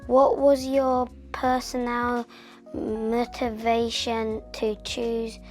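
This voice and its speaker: A woman interviewing a student, asking measured questions and giving short acknowledgments. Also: a little high, monotone, a little mellow. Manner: slow, measured questioning with brief backchannels. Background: faint music.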